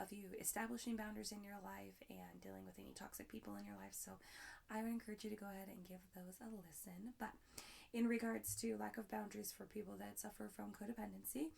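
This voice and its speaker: A young woman talks through her solo podcast in a loose, upbeat ramble full of fillers and restarts. Her voice is hoarse and raspy, with a gravelly fry running under it, at a middling pitch. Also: a little mellow. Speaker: podcast host.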